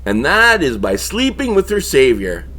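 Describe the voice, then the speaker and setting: High-voiced male actor as griping housemate, animated swings in pitch, sarcastic, audio drama. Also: moderate pace, exaggerated voice. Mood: dry concern. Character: sarcastic put-upon young male housemate of monster hunters. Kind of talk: comedy-horror audio drama.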